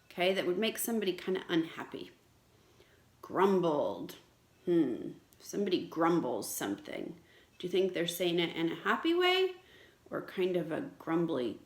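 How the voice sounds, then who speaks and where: A woman teacher reading aloud to children in an expressive, explanatory way, with questions for the listeners. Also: on the low side. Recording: echoey room.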